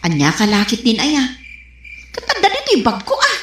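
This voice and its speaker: A woman's voice: a little high, wildly swooping pitch, moderate pace, fairly bright tone. A woman playing a flustered, defensive wife and dutiful daughter in a radio comedy drama, with an Ilocano accent. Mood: evasive, defensive. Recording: echoey, faint background noise.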